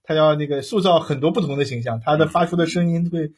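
Raspy-edged man chatting on a panel. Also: a little high, a little mellow. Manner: halting, repetitive, searching for words. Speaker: talk show panelist. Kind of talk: casual livestream discussion.

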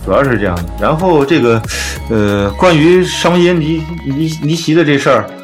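A man's voice: fairly high pitch, moderate pace. A man hosting a casual music podcast, talking through his opinions in an informal discussion.

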